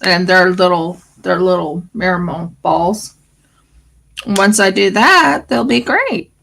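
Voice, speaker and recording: Australian woman, craft-stream host, gravelly scratchy voice, loose rambling chat. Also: on the low side, slow pace, a bit bright. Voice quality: scratchy morning voice. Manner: rambling, fillers, restarts. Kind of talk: casual livestream chat.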